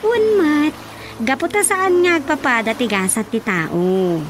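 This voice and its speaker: A woman playing a chatty, teasing younger woman in an Ilocano radio drama comedy, with an animated delivery and an Ilocano accent. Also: sits high, unhurried, background noise. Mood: playful, chatty. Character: chatty teasing woman, Rosa, rushing off to her mother. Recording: music bed under the voice.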